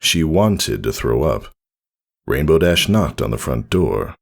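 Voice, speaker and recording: A man's voice: extremely low pitch, big pitch swings, slow, gravelly. A man reading a scripted story aloud as narrator, in a dramatic audiobook style.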